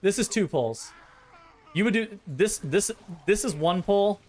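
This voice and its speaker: A man with a fairly high voice talks through game strategy in a casual, blunt way. He swears freely and thinks out loud as he explains. His throat sounds a bit worn. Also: expressive, measured pace. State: voice tiring, sore throat. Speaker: streamer. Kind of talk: casual gameplay commentary, explaining dungeon strategy.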